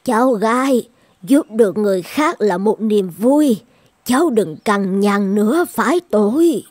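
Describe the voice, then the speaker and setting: A woman voicing a kind, selfless elderly village midwife and grandmother in an animated folktale, speaking gently and earnestly. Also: mid-range voice, unhurried, dry recording. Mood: gently firm.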